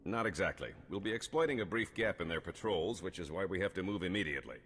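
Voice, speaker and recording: An actor playing a stern, driven military colonel in a video game, speaking in a clipped, formal, commanding way. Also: male voice, sits low, animated, fast talker, fairly bright tone, slight background noise. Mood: urgent, commanding. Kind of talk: video game cutscene acting. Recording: long hall reverb.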